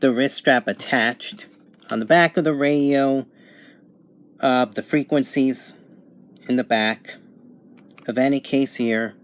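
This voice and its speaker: A man giving a casual, unscripted product review at home, thinking aloud in halting phrases. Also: mid-range voice, fairly even intonation. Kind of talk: casual unboxing and review narration. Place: home bedroom, indoors.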